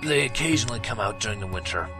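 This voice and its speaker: A man voicing a calm, matter-of-fact male pony guard captain in his own low voice. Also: very fast. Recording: loud music behind.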